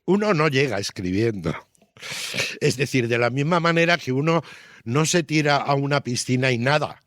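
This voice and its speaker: A man's voice: mid-range voice, lively intonation, normal speed, a bit bright, no room sound, clean studio sound. A man answering as an interviewed author in a reflective, explanatory way, repeating points for emphasis and checking in with short tag questions. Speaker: interviewed children's book author.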